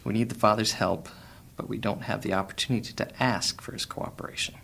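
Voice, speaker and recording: An actor playing a guarded, cunning male thief in a fantasy audio drama, speaking in a dry, measured way. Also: fairly low pitch, steady tone, vocal fry, a bit bright, a little hiss or hum. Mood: scheming.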